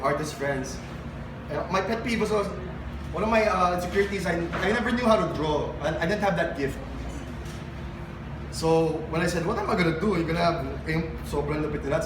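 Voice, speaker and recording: Filipino guy doing spoken word on stage, highish voice, far from the mic and echoey. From Philippines. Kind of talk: live spoken word poetry with casual stage banter. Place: live poetry event venue. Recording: roomy, distant mic, noisy live venue.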